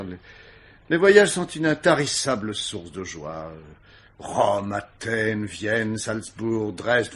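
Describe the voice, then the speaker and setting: An actor playing an urbane, witty, self-absorbed older gentleman of leisure in a radio mystery, speaking with mannered, ironic asides. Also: fairly low pitch. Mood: urbane, wry. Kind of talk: vintage French radio mystery acting.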